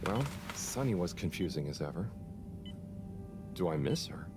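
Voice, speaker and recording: A man's voice: low voice, big pitch swings, moderate pace. An actor playing a nervous, apologetic young man who rambles earnestly, over game music. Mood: gentle. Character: timid, earnest young man, anxious helper. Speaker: lab helper.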